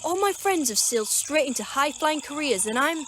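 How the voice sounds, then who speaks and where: A woman's voice: high voice, lively intonation, fairly quick, a little hiss or hum. A woman acting a prickly, defensive young solicitor who works behind a bar, in an amateur audio drama, curt and indignant. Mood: hurt, defensive. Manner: curt, indignant, sharp-tongued.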